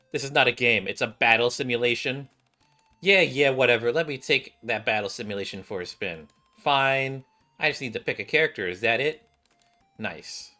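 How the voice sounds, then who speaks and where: A man's voice: mid-range voice, pushed and bright. A man hosting a gaming video, commenting casually and reading dialogue aloud. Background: faint game music.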